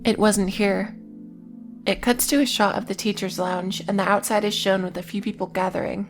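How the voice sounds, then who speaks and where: Woman narrating a video essay, flattish intonation. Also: medium pitch, normal speed. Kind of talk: scripted narration with casual asides. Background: music.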